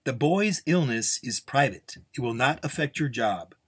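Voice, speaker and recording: A man voicing a defiant, sharp-tongued nun in a Western story, blunt, curt and commanding. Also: medium pitch, lively intonation, normal speed, breathy edge, dry recording, studio quality, voice pushed up. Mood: firm, guarded.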